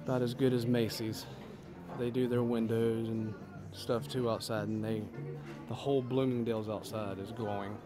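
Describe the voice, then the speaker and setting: A man's voice: medium pitch, flat delivery, normal speed, music in the background. A man vlogging as he walks through a large department store, chatting and easily delighted. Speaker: travel vlogger. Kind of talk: casual walkthrough vlog.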